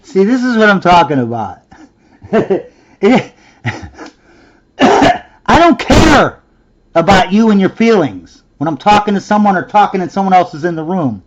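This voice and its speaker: A man's voice: high voice, big pitch swings, unhurried, long pauses, dull audio. A man hosting a reaction video, ranting in a sarcastic, scornful way and mocking the clip he watches. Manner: sarcastic, scornful, mimics in a whiny voice.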